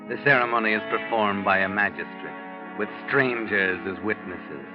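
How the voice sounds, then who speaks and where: An actor as an all-knowing mystery narrator in a 1940s radio suspense show, delivering the story in a measured, dramatic way. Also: male voice, on the low side, close to an everyday voice. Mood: ominous, measured. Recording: muffled 1940s radio, loud music behind.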